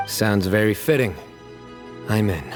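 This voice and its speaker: A grave, resolute young enforcer played by a man in a game. His low voice is measured and terse, with soft music behind it. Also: close to an everyday voice. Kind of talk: video game voice acting.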